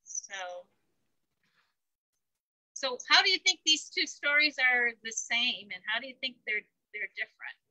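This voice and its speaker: A woman's voice: sits high, animated, measured pace, pauses often, pushed and bright, rough recording. A woman talking through a children's book, thinking aloud and searching for words as she reflects. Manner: thoughtful, searching for words, restarts. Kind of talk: casual chat about a picture book.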